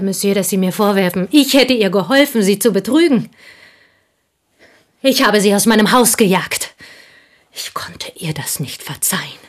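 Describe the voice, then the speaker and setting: An actress playing a bitter, confessing village schoolteacher in an intimate German radio play. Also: female voice, mid-range voice, close to an everyday voice. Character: bitter, confessing French village schoolteacher, woman, jealous confidante.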